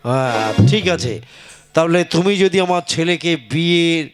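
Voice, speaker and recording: A man voicing a gruff, impatient village gentleman in a comic puppet play, curt and grumbling. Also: a little high, a little slow, soft background music, close to an everyday voice. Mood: agreeable. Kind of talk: comic Bengali folk puppet theatre.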